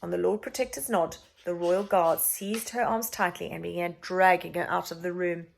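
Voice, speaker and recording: Creaky-voiced woman reading a children's story. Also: fairly low pitch, steady tone, moderate pace. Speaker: teacher reading aloud. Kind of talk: expressive children's story narration.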